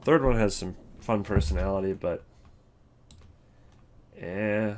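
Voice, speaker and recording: A man giving casual, opinionated video commentary on game voice lines, thinking aloud in halting, rambling sentences. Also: on the low side, a little mellow, slight background noise. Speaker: video host.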